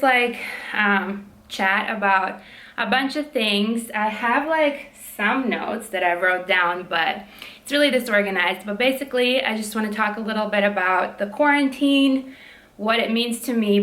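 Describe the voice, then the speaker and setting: A young woman with a naturally loud voice, chatting casually at home while doing her nails, frank and fond of swearing, full of likes and ums, holding her voice down. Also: medium pitch, reverberant. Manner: frank, swears freely, many "like" and "um" fillers; speaking softly to keep quiet. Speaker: vlogger, PhD student. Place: room at home.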